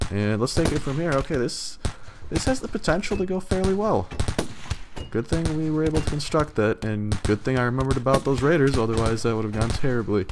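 A young man with a slightly hoarse voice, giving loose, rambling gameplay commentary and swearing casually. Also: medium pitch, background noise. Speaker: let's play gamer.

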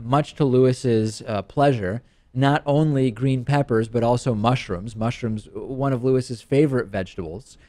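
A man's voice: medium pitch, dark tone, dry recording. Upbeat man hosting a studio show. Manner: enthusiastic, teasing his co-host. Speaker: show host. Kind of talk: casual product review chat. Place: TV studio set. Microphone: studio mic on a stand.

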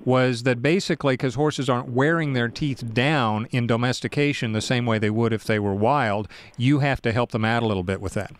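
A man's voice: mid-range voice, fairly quick, few pauses, clean tone. A man hosting a radio interview, asking curious questions and restating points for his listeners. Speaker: radio show host.

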